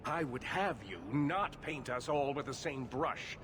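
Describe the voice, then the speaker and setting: Man acting impassioned mage leader, fairly high voice. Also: unhurried, noisy background, lightly acted. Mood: indignant. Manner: formal, urgent, declamatory. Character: indignant, impassioned male leader of mages. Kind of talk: dramatic fantasy video game acting.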